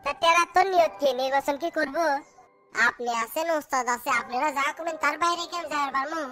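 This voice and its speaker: Performer as boastful cartoon village politician man, very high pitch, rapid, blustering, scheming, colloquial. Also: over music, lightly acted. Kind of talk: cartoon comedy dialogue.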